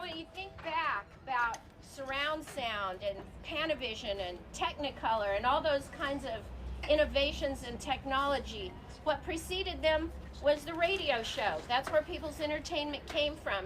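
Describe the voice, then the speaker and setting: A woman giving an informal welcome speech from the stage as host of a live theater show. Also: high voice. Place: live before an audience at a theater. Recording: clearly noisy live stage recording.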